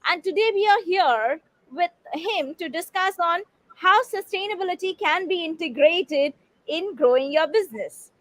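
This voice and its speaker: Woman host, high voice, polite formal questions, dry close sound, video call. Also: expressive, moderate pace, a bit bright, dry recording, rough recording. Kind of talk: interview host.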